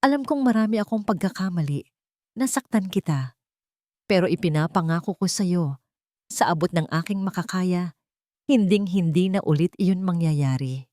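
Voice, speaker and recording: An earnest man in love played by a woman narrator speaks seriously and tenderly in an audio romance. The voice is fairly low and emotional, with a dry sound. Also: normal speed, darkish tone, no room sound, lightly acted. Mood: emotional, pleading. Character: earnest, loving young businessman proposing marriage.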